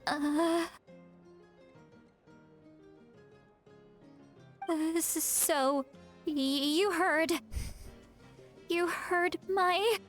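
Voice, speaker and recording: High-voiced, flustered eccentric shamaness. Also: female voice, fairly even intonation, very slow, soft background music, heavily acted. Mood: flustered, exasperated. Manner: flustered, dramatic, self-deprecating. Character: flustered, eccentric immortal shamaness with youthful looks. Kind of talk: video game voice acting.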